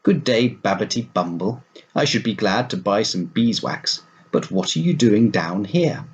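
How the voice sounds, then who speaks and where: A man's voice: on the low side, expressive, unhurried, dull audio. A man voicing a fussy, tidy little female wood mouse with a prim, scolding manner in a storybook. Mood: suspicious.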